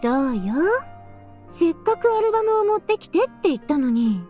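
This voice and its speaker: High-voiced, composed anime-game mother. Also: sing-song, quick pace, dark tone, faint music behind. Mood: mock scolding. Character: composed, gently teasing mother of a teenage girl. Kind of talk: Japanese visual novel voice acting.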